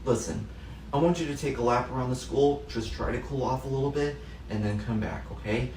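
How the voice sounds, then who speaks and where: A man playing a strict but caring schoolteacher who scolds sternly and comforts softly. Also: on the high side, lively intonation, normal speed, slight background noise. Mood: gentle, consoling. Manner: stern and scolding, then soft and consoling. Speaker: teacher in a classroom skit. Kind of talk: comedic classroom sketch. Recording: roomy echo, distant mic.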